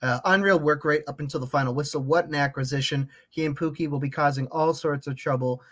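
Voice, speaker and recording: A man's voice: a little high, steady tone, fast, a bit bright. A man hosting a casual soccer podcast in an upbeat, friendly manner, reading out chat comments. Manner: upbeat, friendly, frequent fillers. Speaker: podcast host.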